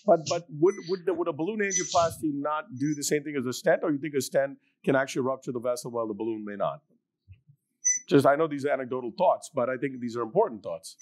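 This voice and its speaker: Fast-talking male panel moderator. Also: medium pitch, darkish tone, rough recording. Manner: probing, questions with restarts. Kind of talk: live conference panel discussion.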